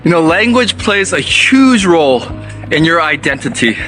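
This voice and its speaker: A man's voice: a little high, big pitch swings, normal speed, music in the background, low-quality recording. A middle-aged man with an American accent, born in Korea and raised in America, hosting a walking vlog and speaking in a reflective, personal, conversational way. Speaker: vlog host. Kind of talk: walking travel vlog narration. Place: outdoors on city streets.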